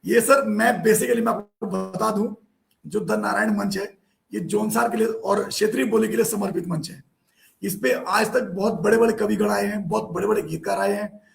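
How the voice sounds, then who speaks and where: A hoarse man hosting a live online poetry meet from a remote spot with a poor network, often apologizing and chasing guests about their cameras. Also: high-pitched, normal speed. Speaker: live stream host.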